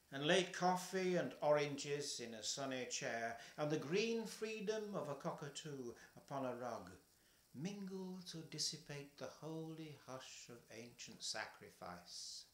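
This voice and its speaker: An older man reading a poem aloud at home, slow and measured, his voice falling soft at the ends of lines. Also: fairly high pitch, very animated, reverberant. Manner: slow, measured, trailing softly at line ends. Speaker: poetry reader. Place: home kitchen, indoors.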